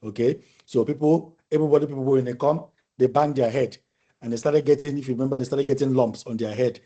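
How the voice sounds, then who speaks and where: Male lecturer, mellow tone, relaxed discussion style, restarts phrases while he thinks aloud. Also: mid-range voice, fast, highs cut off. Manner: thoughtful, repeats and restarts phrases while explaining. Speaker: university lecturer. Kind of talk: conversational online class discussion.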